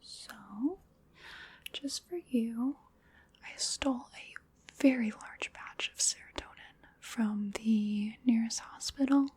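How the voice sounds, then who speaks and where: A woman's voice: on the high side, a little mellow, heavily acted. Woman playing a whispering, politely menacing vampire lady. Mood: coaxing, smug. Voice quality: breathy whisper. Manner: soothing, wryly polite, unhurried. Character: playful female vampire, chatty, politely menacing, doting on prey. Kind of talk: whispered ASMR roleplay.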